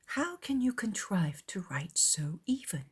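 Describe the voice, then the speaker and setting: A woman's voice: mid-range voice, sing-song, unhurried, husky, full character voice. Woman playing a gushing, affected society lady. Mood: gushing, flattering. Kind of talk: dramatized period audiobook.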